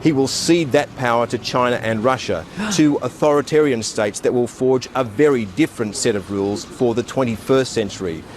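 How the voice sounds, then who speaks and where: Grave, measured male political commentator. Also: mid-range voice, slight background noise. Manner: grave, deliberate critical analysis. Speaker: political commentator in a radio clip.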